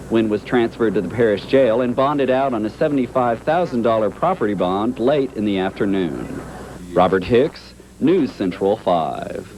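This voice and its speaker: A man's voice: medium pitch, a little mellow, slight background noise, low-quality recording. A man narrating a scripted television news report as a reporter, on an old 1980s broadcast tape.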